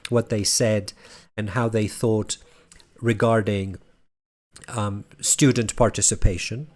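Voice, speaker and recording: A man who grew up in Barcelona, presenting his research at a conference as a university lecturer. He speaks in a measured way and builds his points as lists. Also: fairly low pitch, steady tone, a little mellow. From Spain (Barcelona).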